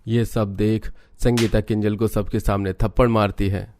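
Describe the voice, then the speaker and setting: A man's voice: fairly low pitch, flat delivery, moderate pace, darkish tone. A man reading scripted narration for a family drama cartoon. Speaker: story narrator.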